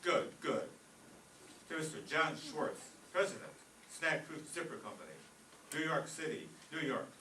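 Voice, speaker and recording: A man's voice: on the high side, lively intonation, normal speed, pushed and bright. A man playing a scornful, wisecracking, blustery office boss in an old-time radio comedy. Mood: brisk, irritated. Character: exasperated, sarcastic male law-office boss. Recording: roomy, distant stage recording with faint noise.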